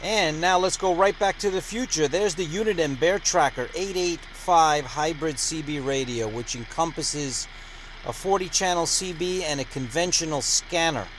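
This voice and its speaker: A man with a slightly high voice hosts a gear review like a radio show. He talks in a conversational presenter's style, with noticeable noise behind him. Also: normal speed, a bit bright, poor audio.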